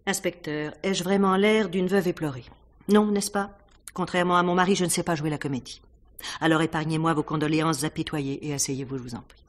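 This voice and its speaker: An actress playing a brisk, commanding, unsentimental theatre director in a radio mystery. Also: female voice, deep voice, steady tone, close to an everyday voice. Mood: cool, frank. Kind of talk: 1950s-style French radio mystery acting.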